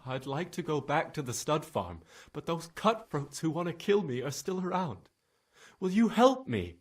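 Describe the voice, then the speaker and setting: A man playing a frightened young stable lad in a game cutscene, stammering and halting, with a husky voice. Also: a little high, sing-song. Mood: pleading. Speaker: video game character, witness being questioned. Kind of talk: dramatic game cutscene acting.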